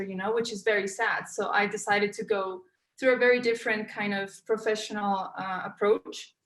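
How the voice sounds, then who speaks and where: A woman's voice: medium pitch, a bit bright. Hesitant Colombian woman in an echoey room. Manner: hesitant, many "um" fillers, searching for words. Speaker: podcast guest, co-founder. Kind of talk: casual interview talk.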